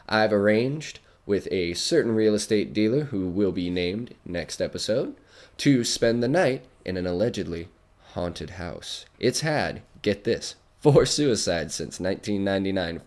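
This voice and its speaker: An actor playing a folksy, showy skeptic radio host in a radio drama, hyping and confiding in listeners. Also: male voice, on the low side, lively intonation, normal speed, darkish tone. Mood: excited hype. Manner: showman patter, hyping and confiding.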